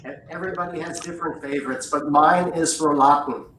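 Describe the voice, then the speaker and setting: A woman guest slowly explaining things in a casual chat. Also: low-pitched, fairly even intonation, fairly bright tone, a little hiss or hum. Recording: roomy and distant with faint background.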